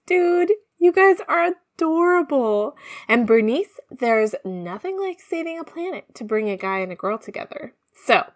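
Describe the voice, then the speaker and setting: A woman playing a chatty, slangy, conspiratorial narrator in an audio comedy, talking casually and gossipily. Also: on the high side. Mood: friendly, teasing. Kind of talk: conversational audio drama narration.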